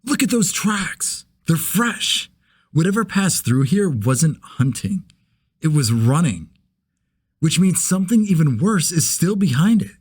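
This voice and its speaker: An alert, excited male tracker on a dangerous trail, acted by a man. His voice is raised high with urgency and a slightly husky edge, in a dramatic, wary delivery. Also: unhurried, full character voice. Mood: excited, urgent. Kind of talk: dramatic character demo read.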